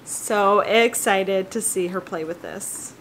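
A woman in her thirties chatting casually to a handheld camera at home, bubbly and quick to laugh. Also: mid-range voice, fairly bright tone, slight background noise, poor audio. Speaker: vlogger, new mom. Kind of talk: casual vlog chat. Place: large bright home kitchen and bedroom. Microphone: camera held at arm's length.

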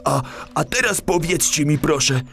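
A man's voice: fairly high pitch, lively intonation, moderate pace, vocal fry, fairly bright tone, soft background music. A man voicing a friendly hippo dad, an enthusiastic ecologist explaining things to children in an audio play. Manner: enthusiastic, didactic, speaking to kids. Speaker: guest speaker to children.

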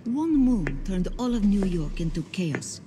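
An actress playing a poised, calculating secret organiser in a video game, speaking in a composed, formal and persuasive way. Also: female voice, medium pitch, sing-song, unhurried. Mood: grave, persuasive. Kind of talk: video game cutscene voice acting. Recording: reverberant hall, faint background noise.

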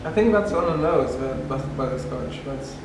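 A young man who works as a barista, chatting casually in a cafe with short relaxed remarks, recorded by a far camera mic. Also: mid-range voice, normal speed, background noise. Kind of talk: casual tasting chat. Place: dim cafe interior, in a small room. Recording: very echoey, distant, loud music behind. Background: loud background music.

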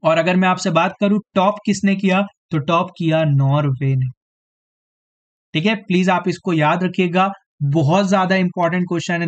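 A man teaching a live online exam-prep quiz, slightly hoarse, clearing his throat now and then and tagging his sentences with a question of agreement to his students. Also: sits high. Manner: repeats "theek hai" as a tag, addresses students directly.

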